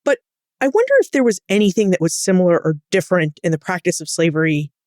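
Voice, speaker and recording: A woman hosting a podcast interview, putting prepared questions to a guest in an articulate, measured way. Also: on the low side, expressive, a little mellow, dry recording, studio quality.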